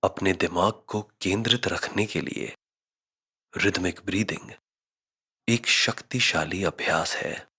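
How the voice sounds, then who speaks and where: Male meditation guide: low register, very slow measured delivery, husky edge to the tone. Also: pushed and bright. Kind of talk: scripted guided meditation narration.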